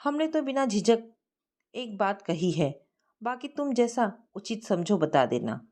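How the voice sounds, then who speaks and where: Woman reading as coaxing older mother, expressive. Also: medium pitch, unhurried. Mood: insistent. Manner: coaxing, reasoning. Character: persuasive older mother pressing her son to marry.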